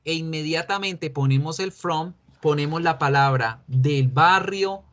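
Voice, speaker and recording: A man speaking as an English teacher, explaining a recorded lesson patiently and repeating key words slowly. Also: on the high side, fairly bright tone, lo-fi sound. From Colombia.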